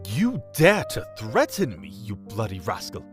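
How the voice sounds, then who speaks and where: A pompous archbishop in a period audio drama, full of contempt. His low voice swings widely and moves slowly, under loud piano music. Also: huge pitch swings. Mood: scornful, angry. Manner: pompous, scornful. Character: haughty, contemptuous archbishop, angry man of power.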